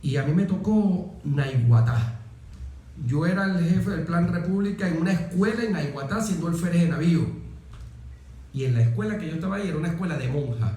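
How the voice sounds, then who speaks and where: A man's voice: a little high, animated, brisk, mellow. A middle-aged Venezuelan man, a military officer, speaking as an interview guest and telling personal stories emphatically, often repeating phrases. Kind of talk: conversational personal storytelling. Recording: very echoey room.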